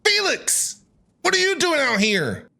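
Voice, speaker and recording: A man's voice: very high-pitched, huge pitch swings, a little slow, vocal fry, bright, forceful tone. A man giving casual, playful and exclamatory live reactions to a game, often mock-bossy, as a streamer.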